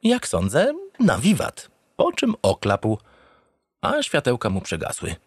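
An actor playing a naive, self-satisfied, doting spaceship captain who narrates a comic radio drama in an earnest, theatrical, pompous way. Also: male voice, mid-range voice, wildly swooping pitch, a little slow, breathy edge, no echo, clean studio sound, exaggerated voice. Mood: excited, earnest.

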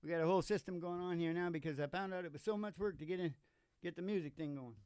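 Older man, high-pitched, joking folksy host. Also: quick pace, a little mellow, clear, resonant tone, no echo, studio quality. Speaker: host and musician. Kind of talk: casual comic banter. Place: small curtained studio stage. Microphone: stage microphone on stand. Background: acoustic guitar.

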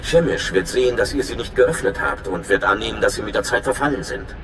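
A man's voice: on the low side, expressive, brisk, fairly bright tone, echoey room, slight background noise. A man playing a nervous, friendly young undercover informant in a video game, chatty and informal with a touch of anxiety. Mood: earnest, eager. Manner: chatty, informal, slightly anxious. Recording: clear music behind the voice.

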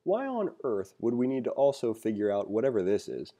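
Low, mellow-voiced man teaching. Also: big pitch swings, fairly quick. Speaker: teacher reading a programming textbook aloud with commentary. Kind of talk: read-along lesson with casual asides.

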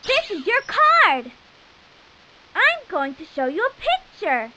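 A woman voicing a cheerful, encouraging little girl explorer in a children's game, speaking emphatically and clearly. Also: extremely high pitch, huge pitch swings, heavy background noise, heavily acted. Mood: friendly instructing. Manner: bright, emphatic, slow and clearly enunciated, exclaiming praise. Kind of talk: children's game voice acting, instructing the player.

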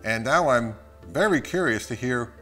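A man's voice: medium pitch, lively intonation, moderate pace, sharp tone, soft background music. A middle-aged man, narrating a product review of audio gear.

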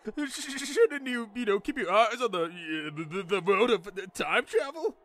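A man's voice: high-pitched, expressive, moderate pace, a bit bright, voice pushed up. A man voicing a nervous, inquisitive teenage boy sidekick who stammers anxiously. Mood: panicky.

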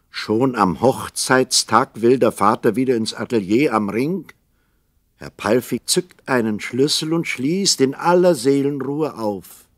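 Narrator, male: children's radio play, wry, expressive, dry close sound. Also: medium pitch, no room sound.

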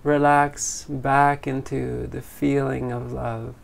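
A man leading a guided meditation in a gentle, unhurried way, leaving long pauses between phrases. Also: medium pitch, little pitch movement, vocal fry. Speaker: meditation teacher.

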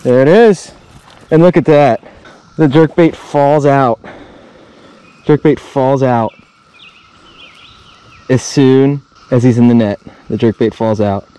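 A man casually narrating his own fishing trip while wading a river, chatty and easygoing, with sudden bursts of excitement. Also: mid-range voice, slow, long pauses, clean tone. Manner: laid-back, chatty, bursts of excitement at catches. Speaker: fishing vlogger. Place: outdoors wading a river tributary. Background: faint crickets and insects outdoors.

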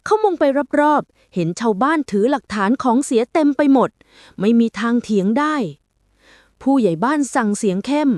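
A woman's voice: fairly high pitch, animated, normal speed, a little mellow, dry recording, studio quality. A woman reading a scripted folk tale as a storyteller, with dramatic narration.

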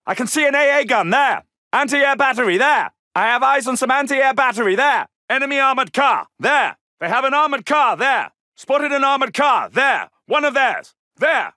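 High-pitched, urgent soldier. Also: male voice, very animated, normal speed, hardly any pauses, pushed and bright, no echo, studio quality, full character voice. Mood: urgent, alert. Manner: clipped, urgent, military. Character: alert, gruff British male Second World War soldier. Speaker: video game voice actor. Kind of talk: shouted combat callouts.

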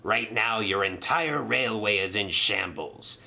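A man voicing a smug, scheming male sailor villain who speaks smoothly and gloats. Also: on the low side, expressive, takes it slowly, pushed and bright, heavily acted. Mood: gloating.

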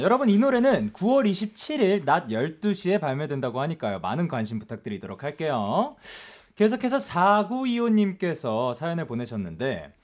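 Young male radio host, lively intonation. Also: sits high. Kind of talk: hosting a radio talk show, reading listener messages. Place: small radio studio. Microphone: studio microphone with pop filter, close.

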